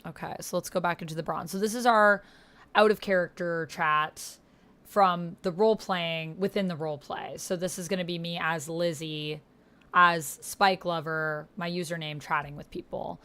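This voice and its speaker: A woman with a slightly low voice talks casually as a livestreamer. She is chatty and informal, thinking out loud as she goes and reading bits of text chat aloud. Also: steady tone, moderate pace, clear, resonant tone. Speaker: streamer and tabletop game player.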